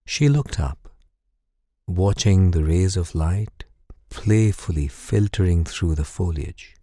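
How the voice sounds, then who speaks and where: A man narrates a bedtime story in a very slow, calm and soothing way. His voice is breathy and soft-edged, recorded close and dry. He guides the listener gently, never hurried. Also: deep voice, no room sound. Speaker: guided sleep meditation narrator. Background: music.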